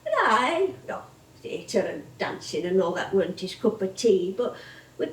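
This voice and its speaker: A woman's voice: mid-range voice, big pitch swings, a little slow, a little hiss or hum. An actress playing a chatty, nostalgic older comedienne with a Northern English accent, rambling and exclaiming as she tells stories on stage. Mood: fond, animated. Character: veteran comedienne, chatty, nostalgic older music-hall woman. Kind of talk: theatrical stage monologue and dialogue. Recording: echoey theatre stage from far off.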